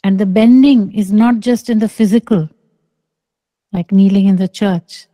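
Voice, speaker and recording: Middle-aged woman, spiritual teacher; very slow, mellow, dry close video-call sound. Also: mid-range voice, steady tone, no room sound. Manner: slow, soft and deliberate, with long pauses. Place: small room indoors. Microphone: close microphone on a video call.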